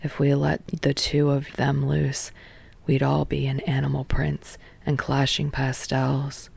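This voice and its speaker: Narrator woman as cool young woman, lowered pitch, creaky, deadpan, wry, audiobook character. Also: measured pace, full character voice. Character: dry, cool young woman bandmate, wryly teasing.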